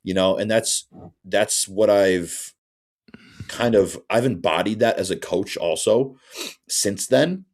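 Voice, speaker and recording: A man's voice: on the low side, takes it slowly, a little mellow. A man in his twenties, a bodybuilding coach, chatting casually on a podcast and often asking "you know what I'm saying". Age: 20s.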